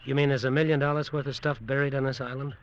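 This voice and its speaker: An actor plays a suspicious, hot-tempered tough guy from a vintage radio adventure. His voice sits at a middle pitch. The sound is muffled and old. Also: flat delivery, fast, close to an everyday voice. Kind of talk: 1940s-50s radio drama acting, first-person narration.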